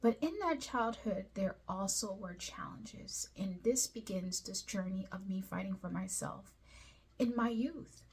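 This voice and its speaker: Female series host from Liberia, US-raised, for a personal storytelling monologue. Breathy, slightly rough mid-range voice, earnest and carefully articulated. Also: medium pitch, measured pace, a little mellow. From Liberia, raised in the United States.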